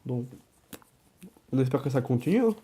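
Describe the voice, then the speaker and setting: A man with a head cold and sore throat chatting casually while opening card packs, now and then muttering quiet asides as he handles the cards. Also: mid-range voice, animated, moderate pace, husky.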